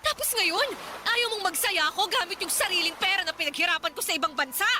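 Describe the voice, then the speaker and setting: A woman's voice: very high voice, fast talker, background noise. A woman voicing a defiant, resentful gambling wife in a Filipino radio drama, with a confrontational, sarcastic, scolding manner and a Filipino accent. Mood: sarcastic, angry.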